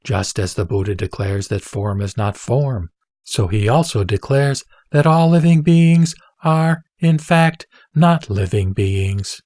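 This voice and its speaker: A man reading scripture as a scripted narration, in a solemn, measured, teaching manner. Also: fairly low pitch, animated, a little mellow, no echo, clean studio sound. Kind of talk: scripted sutra recitation, audiobook narration.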